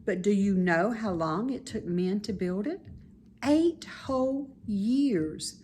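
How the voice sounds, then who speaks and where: A woman playing a wise, calm, self-assured female spider in a children's storybook, speaking in a measured, instructive way. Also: medium pitch, husky. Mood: consoling. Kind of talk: children's storybook read-aloud.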